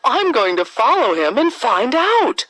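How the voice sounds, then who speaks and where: A woman's voice: high voice, big pitch swings, a little slow, a bit bright, dry recording, voice pushed up, full character voice, low-quality recording. A woman voicing a curious, determined young girl in a children's storybook, expressively thinking aloud.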